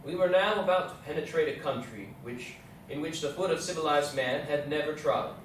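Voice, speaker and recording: A man's voice: on the high side, close to an everyday voice. Very echoey, formal man playing an explorer captain. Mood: solemn hope. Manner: measured, formal, literary. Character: earnest male American explorer captain, reading his journal. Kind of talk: readers theatre journal recitation. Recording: echoey hall, distant mic, faint noise.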